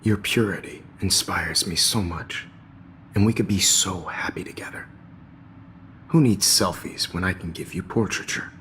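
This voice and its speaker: An actor playing a middle-aged American photography teacher in a video game, smug, theatrical and predatory. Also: male voice, deep voice, normal speed, fairly bright tone, a little hiss or hum. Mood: creepy, menacing. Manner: theatrical, lecturing.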